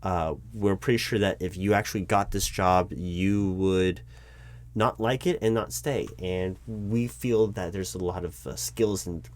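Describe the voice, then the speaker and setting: A man playing a nervous, baffled corporate recruiting manager in an audio roleplay, polite and earnest with halting, stammering speech. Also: low voice, steady tone. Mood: polite, hesitant. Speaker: roleplay performer. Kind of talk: one-sided audio roleplay of a job interview.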